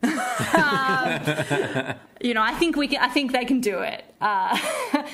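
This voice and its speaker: A woman's voice: fairly high pitch, big pitch swings, slow, a bit bright, a little hiss or hum. A woman with an Australian accent chatting casually and reflectively as a theatre director being interviewed.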